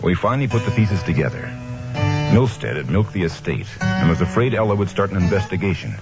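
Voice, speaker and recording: An actor playing a steady, principled investigator in an old-time radio mystery, speaking in a measured, firm manner. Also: male voice, low-pitched, over loud music. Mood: matter-of-fact. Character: steady, principled male investigator narrating his own case. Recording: dull noisy old radio recording.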